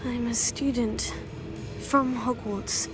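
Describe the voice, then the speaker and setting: Polite young student, even-toned, slightly high, music under it. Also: female voice, takes it slowly. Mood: earnest. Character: earnest young female student. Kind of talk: video game voice acting. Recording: game audio with music behind.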